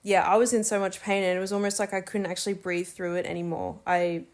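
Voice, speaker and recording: A woman's voice: mid-range voice, flat delivery, quick pace. A young woman, chatting candidly and laughing easily as she shares her birth story. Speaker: new mother sharing birth story. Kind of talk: casual chat.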